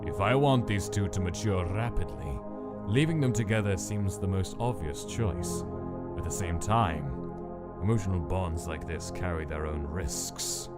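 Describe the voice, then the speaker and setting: A man voicing a cold, clinical scientist who dictates experiment notes in a measured, detached, deliberate way for a comic dub. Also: low voice. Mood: calculating. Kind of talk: scripted comic dub, log-style narration. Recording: voice under prominent music.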